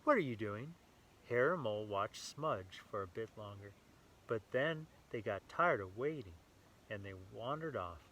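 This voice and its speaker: A man reading a children's story aloud with animated enthusiasm, adding casual asides to young listeners. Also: fairly low pitch, unhurried, slight background noise, poor audio.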